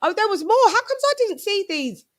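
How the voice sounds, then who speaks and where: A British woman hosting a live boxing stream, chatting casually in an effusive, slangy way with shout-outs. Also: extremely high pitch, very animated, fairly quick.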